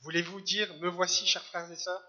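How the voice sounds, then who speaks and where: A man from Algeria preaching a church sermon in short phrases, pausing for an interpreter. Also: high-pitched, level tone, pushed and bright, lo-fi sound. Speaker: guest preacher. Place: church service.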